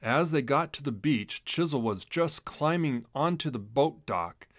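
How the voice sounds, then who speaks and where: A man reading a children's storybook aloud in an expressive, dramatic narrating style. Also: medium pitch, a little slow, dry recording, studio quality. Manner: animated, dramatic delivery. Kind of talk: expressive scripted narration for a read-along storybook.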